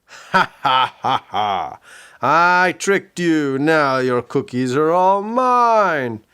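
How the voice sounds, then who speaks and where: A man's voice: medium pitch, moderate pace, fairly bright tone, clean tone, lightly acted. A man doing a sly, greedy storybook scoundrel. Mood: gloating. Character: sneaky grown male scoundrel, greedy bully.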